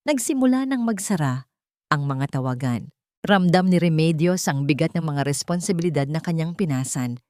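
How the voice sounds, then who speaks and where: A woman with a low voice reads a dramatic story, her pitch rising and falling widely. Her delivery is deliberate and emotive, and the sound is dry and close. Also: dark tone, no room sound. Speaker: story narrator. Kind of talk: dramatic scripted narration of a sentimental drama.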